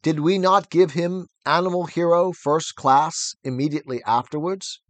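A man voicing Boxer, a big, slow, honest male workhorse who speaks plainly and earnestly. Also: on the high side, fairly even intonation, a bit bright, no echo, close to an everyday voice. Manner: plodding, earnest, simple.

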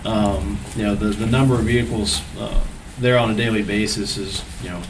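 Hesitant low-voiced man, distant and noisy. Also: fairly even intonation, normal speed. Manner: hesitant, many ums and restarts. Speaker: township zoning staff member. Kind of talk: reporting at a public board meeting. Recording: distant mic, noisy room.

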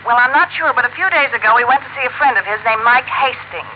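A woman's voice: on the high side, quick pace, lightly acted. An American actress playing an earnest, pleading woman caller in a 1950s radio detective drama. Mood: earnest, confiding. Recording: muffled, hissy old radio recording.

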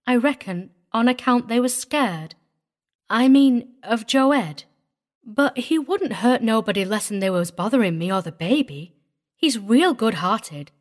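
A woman's voice: a little high, expressive, unhurried, pitched above the performer's own voice. A woman voicing a simple, devoted young mountain wife in a storybook, earnest and prim, with a rural Southern US accent. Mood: earnest, quiet.

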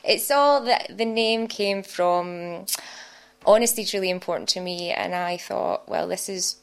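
Scottish woman in a slow, giggly chat. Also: medium pitch, gravelly, clean tone. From Scotland. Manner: giggly, warm, chatty with many fillers. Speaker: guest. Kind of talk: casual interview chat. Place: radio studio.

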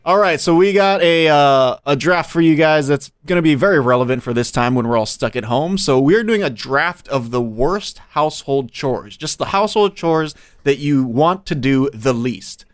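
A man's voice: a little high, lively intonation, moderate pace, few pauses, clean tone, dry recording, faint music behind. A man in his thirties co-hosting a podcast, joking and teasing in casual chat. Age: 30s. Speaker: podcast co-host.

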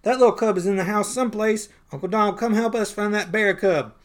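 A man's voice: high voice, a little fast, voice pushed up, close to an everyday voice. A man voicing plucky, bossy young duckling boys while reading a comic story aloud. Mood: determined. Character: eager boy duckling triplets, bossy and plucky.

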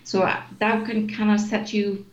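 A woman's voice: medium pitch, level tone, moderate pace. A woman in her forties, born in Chile, answering interview questions in a thoughtful, explanatory way with frequent fillers and restarts. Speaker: guest, executive director of an obesity organization. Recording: echoey room, dull lo-fi sound, faint noise.

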